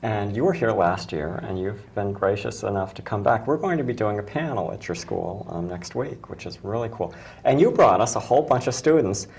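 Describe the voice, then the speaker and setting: Host, female, hoarse and gravelly, lively and chatty. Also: very deep voice, brisk, hardly any pauses, a little hiss or hum. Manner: chatty, restarts often. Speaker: talk show host. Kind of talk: casual studio chat.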